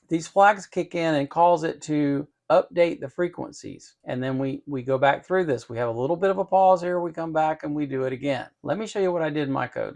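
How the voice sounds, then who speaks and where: A man's voice: on the high side, creaky, darkish tone. A man giving an informal technical code walkthrough, methodical and repeating phrases for emphasis. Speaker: electronics channel host and programmer.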